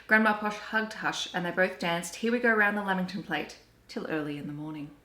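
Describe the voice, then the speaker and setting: An Australian woman reading children's storybooks aloud in an animated, deliberate storytelling way. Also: fairly low pitch. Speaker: children's story reader and book vlogger. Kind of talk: expressive storybook read-aloud. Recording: roomy, echoey home recording.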